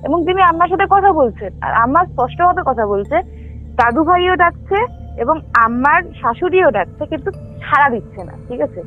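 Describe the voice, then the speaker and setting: A woman telling a ghost story casually as a caller, halting, repeating and correcting herself as she goes. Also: high voice, expressive. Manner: halting, repetitive, self-correcting. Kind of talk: casual spoken storytelling with frequent check-in questions. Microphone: phone line. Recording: tinny phone call with music underneath. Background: music.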